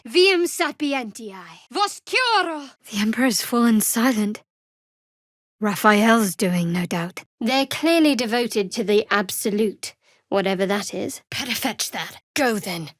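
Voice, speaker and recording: Fantasy game heroine: young cleric of a dark goddess, fairly high voice, sardonic, dry, guarded, studio-dry sound. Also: female voice, no echo. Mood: forceful, shouting. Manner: measured, dry, wry delivery. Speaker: video game voice actor. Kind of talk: scripted fantasy game dialogue.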